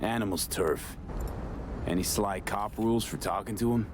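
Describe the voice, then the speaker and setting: Actor as a low-voiced, sardonic streetwise investigator. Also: male voice, normal speed, natural voice. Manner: dry, terse, questioning. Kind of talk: video game voice acting. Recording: voice under loud street and vehicle noise.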